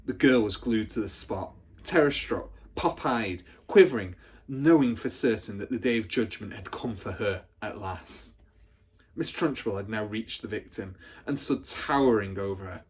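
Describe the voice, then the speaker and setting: A man's voice: on the low side, moderate pace, husky. A man reading a children's story aloud as a teacher would, with dramatic, emphatic expression.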